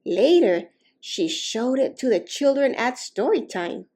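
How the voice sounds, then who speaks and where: A woman reading a children's story aloud expressively, with slow, deliberate, dramatic emphasis on key words. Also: a little high, very animated, dark tone. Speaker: storytime reader.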